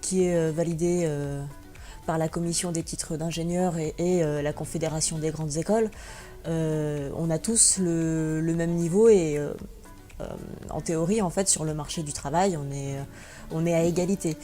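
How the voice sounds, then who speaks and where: A woman's voice: deep voice, steady tone, moderate pace, warm tone, faint music behind. A young woman from France answering interview questions as a guest, hesitant, with many fillers and restarts. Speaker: guest blogger and teacher.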